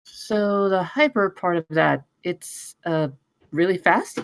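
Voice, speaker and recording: A woman reading the part of an anxious, awkward young woman in a screenplay table read, halting and stammering. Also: lowish voice, expressive, slight background noise. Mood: hesitant, puzzled.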